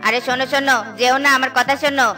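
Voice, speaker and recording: A woman's voice: sits high, flat delivery, very fast, bright, forceful tone, soft background music. A woman voicing an earnest, cautious cloud who is easily offended in a children's cartoon. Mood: worried, pleading.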